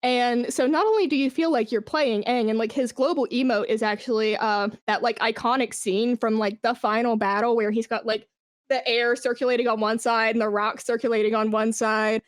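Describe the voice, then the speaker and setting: A woman with a fairly high voice talks as a guest in a casual interview. She is enthusiastic and informal, swearing freely. The sound is very dry, with no room echo. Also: fairly even intonation, moderate pace. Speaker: podcast guest, esports brand manager.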